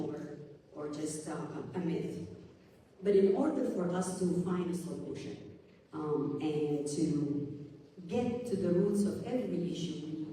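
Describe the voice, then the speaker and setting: A woman's voice: low-pitched, warm tone. A woman from Sudan speaking on a conference panel, earnest and searching for words as she talks. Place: conference hall stage. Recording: roomy hall, distant mic, faint noise.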